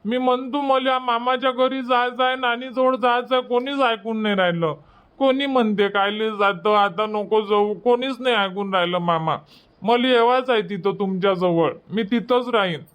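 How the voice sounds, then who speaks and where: A man's voice: very high voice, normal speed, fairly bright tone, smooth. A man voicing a stubborn, whiny little boy in a cartoon comedy, pleading insistently with a Varhadi Marathi accent.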